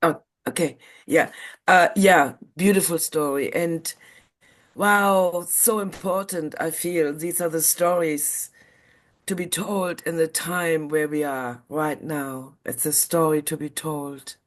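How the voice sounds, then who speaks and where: A woman with a deep voice gives earnest feedback as a member of an audience. She takes her time, speaking very slowly and measuredly, with emphasis on key words. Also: fairly even intonation.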